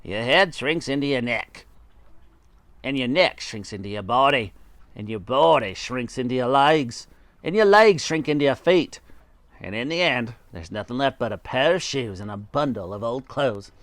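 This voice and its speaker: A man's voice: mid-range voice, animated, normal speed, fairly bright tone, dry recording. A man voicing a mean, scheming old husband in a storybook, gloating with taunting emphasis and mock solemnity.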